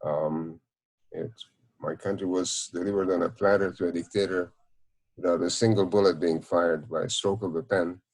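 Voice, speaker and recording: A man in his nineties with a Hungarian accent, recounting memories in an interview in a measured, halting way. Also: deep voice, steady tone, darkish tone. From Czechoslovakia. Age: 90s. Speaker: Holocaust survivor, interviewee. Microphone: video call.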